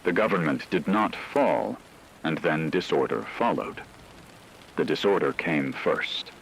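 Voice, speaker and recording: A man's voice: extremely low pitch, lively intonation, measured pace, creaky, slight background noise, exaggerated voice, poor audio. A man playing a serious radio analyst of global collapse, reading scripted documentary narration in a formal, methodical manner. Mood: clinical, grave.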